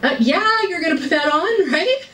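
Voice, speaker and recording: A woman speaks in a brisk, chatty way as she leads a workshop. She is heard from a distance in an echoey lecture room. A faint hiss sits under her voice. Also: extremely high pitch, big pitch swings, bright, forceful tone. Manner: brisk, chatty, laughs easily. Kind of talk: informal talk with Q&A.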